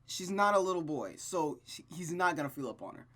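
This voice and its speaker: A gaming host reading a visual novel aloud with casual, rambling, expressive commentary. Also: medium pitch, a little fast. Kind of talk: casual game commentary and read-aloud narration.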